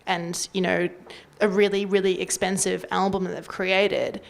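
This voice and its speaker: A woman's voice: on the low side, level tone, moderate pace, gravelly. An Australian woman talking casually and informally as a panelist, with loose conversational phrasing. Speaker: community radio panelist.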